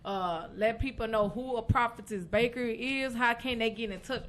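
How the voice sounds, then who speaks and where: A woman's voice: fairly high pitch, moderate pace, a bit bright, slight background noise. A woman hosting a radio show, interviewing a guest and cheering her on with constant affirmations. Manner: encouraging, constant uh-huhs and amens. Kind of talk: interview chat.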